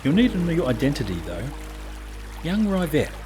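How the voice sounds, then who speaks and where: A man's voice: a little high, wildly swooping pitch, normal speed, over music. A man acting a protective, seasoned gang leader with an earnest, reassuring manner in a fantasy audio drama. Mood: amused, accepting.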